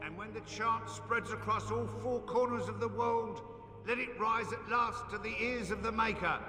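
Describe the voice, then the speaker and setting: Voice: mid-range voice, steady tone, normal speed, sharp tone, big-room sound, slight background noise, lightly acted. A man playing a devout chanter in a fantasy game, reciting scripture in a solemn, declamatory manner. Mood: fervent, solemn.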